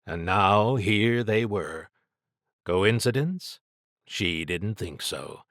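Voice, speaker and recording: Low-voiced man narrating fiction, dry close sound. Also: animated, very slow, fairly bright tone, no echo. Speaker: audiobook narrator.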